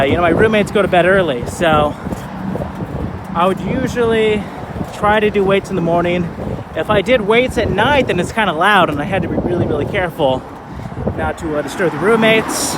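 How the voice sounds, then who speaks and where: A man in his thirties talking casually in a vlog, relaxed and rambling with lots of fillers, recorded outdoors while skating. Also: sits high, heavy background noise. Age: 30s. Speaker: vlogger.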